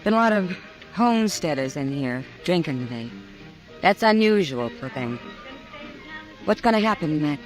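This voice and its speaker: A woman's voice: deep voice, expressive, moderate pace, long pauses, soft background music, poor audio. An American actress playing a worried, watchful Old West saloon woman in a radio drama. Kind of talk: old-time radio western acting.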